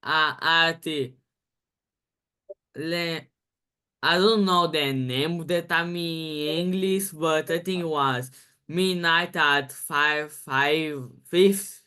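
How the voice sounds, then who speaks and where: A man's voice: on the high side, steady tone, drawn-out words, bright, forceful tone, clear, resonant tone. A young man from Brazil with a Brazilian Portuguese accent, a native Portuguese speaker, chatting hesitantly on a video call and searching for English words. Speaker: student. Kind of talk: casual chat.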